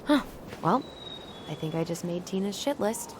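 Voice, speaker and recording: A woman's voice: medium pitch, lively intonation, normal speed, background noise, close to an everyday voice. An actress playing a guarded young American woman in a video game, with a hesitant, conversational way of talking. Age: young adult. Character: guarded young woman, loyal to her family, easily exasperated. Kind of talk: naturalistic video game dialogue.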